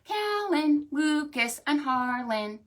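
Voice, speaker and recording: A woman hosting a storytime for preschoolers, talking to small children in an animated, sing-song way. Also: high-pitched, slow. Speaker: children's librarian and storytime host. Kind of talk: preschool storytime with stretches and songs.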